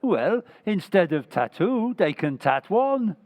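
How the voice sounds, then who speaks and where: An actor playing a dim-witted, slow-talking barfly in a 1940s-style radio comedy. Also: male voice, high-pitched, sing-song, a little mellow, full character voice. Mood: dopey. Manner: slow, dopey drawl.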